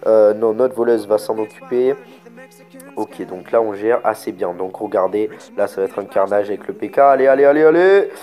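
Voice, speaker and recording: A man with a cold giving live commentary on his own gameplay. He is excited and keeps pointing things out to his viewers. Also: lowish voice, normal speed, soft background music. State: has a cold, stuffy. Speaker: gaming video creator.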